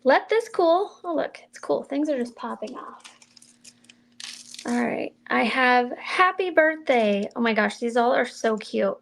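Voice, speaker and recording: A woman with a slightly high, gravelly voice talks slowly and mildly as she demonstrates card-making on a stream. She thinks aloud in a casual, chatty way as she works. Faint clicks from her tools can be heard behind her. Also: expressive. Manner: chatty, mild and soft-spoken, thinking aloud while working. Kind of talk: casual crafting livestream chat.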